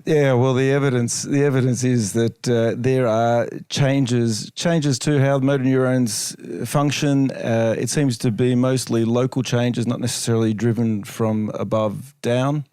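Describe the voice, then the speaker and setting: An Australian-accented man answering interview questions casually, with measured, hesitant phrasing and dry humour. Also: medium pitch, fairly even intonation, warm tone, clean tone, no room sound. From Melbourne, Australia. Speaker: interview guest, chiropractor and researcher.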